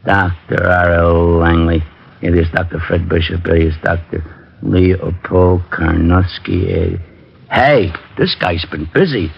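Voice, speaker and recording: A muffled old radio recording with some hiss carries a gruff, thick-headed American police sergeant. His voice sits fairly low, and he blusters in slangy, uneducated tough-guy talk. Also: slow pace, heavily acted. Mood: dim, matter-of-fact. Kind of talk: 1940s-50s radio acting. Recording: noisy, dull vintage radio recording.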